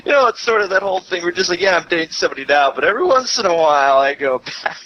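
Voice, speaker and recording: A man chatting casually as a guest on a podcast, telling stories in an animated way and often acting out quotes. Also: sits high, rapid-fire, lo-fi sound. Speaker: guest content creator and reviewer. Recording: hissy amateur online call audio.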